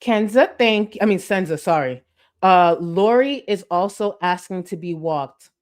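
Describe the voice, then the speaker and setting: A woman's voice: on the low side, expressive, a little slow. A woman hosting a live commentary stream, reading chat and mocking her target with heavy sarcasm and swearing.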